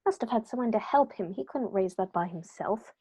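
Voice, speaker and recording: A woman playing a sharp, confident male detective in a radio play, crisp and brisk as he reasons through the clues. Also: medium pitch, expressive. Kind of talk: amateur radio play acting.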